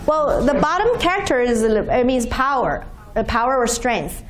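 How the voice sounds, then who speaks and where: A woman whose first language is Chinese, giving a university lecture from a podium and explaining terms slowly with many "okay"s. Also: fairly high pitch, animated. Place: lecture room, standing at podium by projector screen.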